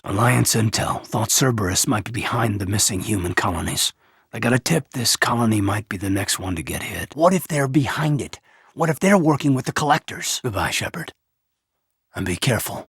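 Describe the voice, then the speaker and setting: A loyal, conflicted officer in a sci-fi video game. His voice is mid-pitched and husky, with a forceful edge and animated movement. He speaks with sincere military intensity, recorded close and dry. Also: brisk, no room sound, heavily acted. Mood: wounded, accusing. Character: earnest, loyal, conflicted adult male space marine officer. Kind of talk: video game voice acting, dramatic dialogue.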